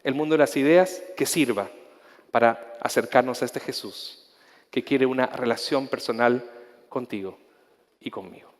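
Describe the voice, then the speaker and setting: A male pastor with a Chilean accent, preaching with passion, repeating himself and restarting phrases, then praying softly and intimately. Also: medium pitch, moderate pace, in a large hall. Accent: Chilean Spanish. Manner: impassioned preaching with repetitions and restarts; soft, intimate prayer. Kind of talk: sermon ending in prayer.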